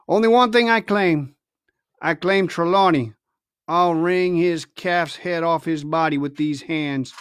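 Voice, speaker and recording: A man's voice: a little high, a little slow, a bit bright, clear, resonant tone, no room sound, clean studio sound. A man reading a storybook, voicing a sly, domineering pirate ship's cook with hectoring, colloquial sailor speech. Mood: cold, menacing.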